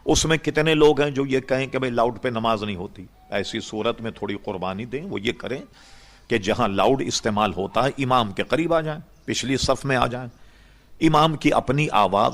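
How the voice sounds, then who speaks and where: Older man, low gravelly voice. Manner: explanatory, conversational, uses examples and check-in questions. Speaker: Islamic scholar answering questions. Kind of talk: informal religious question-and-answer talk.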